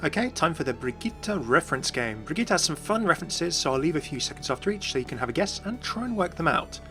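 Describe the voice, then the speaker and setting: A man's voice: on the high side, big pitch swings, fast, a bit bright. A man presenting scripted fan commentary as a voice-over, explaining things and addressing viewers directly. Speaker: video presenter and game commentator. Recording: voice-over with faint music behind.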